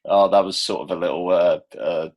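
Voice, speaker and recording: A man's voice: deep voice, fairly even intonation, fairly quick, dull audio. A young man, a musician being interviewed, chatting casually in a self-deprecating way. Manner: self-deprecating, laughs between phrases.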